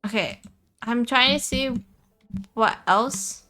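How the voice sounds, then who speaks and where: A woman's voice: fairly high pitch, takes it slowly, sharp tone, faint music behind. A woman live streamer chatting casually about a game, often repeating phrases for emphasis. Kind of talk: casual gaming stream chat.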